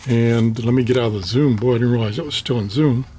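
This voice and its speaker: An older man, slightly hoarse, casually showing his radio antenna and its paperwork with many fillers and restarts. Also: mid-range voice, fast talker, warm tone, slight background noise. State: slightly hoarse, clearing throat. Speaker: ham radio hobbyist vlogger. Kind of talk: casual show-and-tell.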